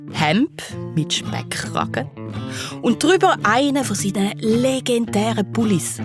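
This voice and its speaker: A woman playing a playful, confiding narrator of a children's detective story, with tongue-in-cheek asides. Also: a little high. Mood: teasing. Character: wry, playful female storyteller of detective cases.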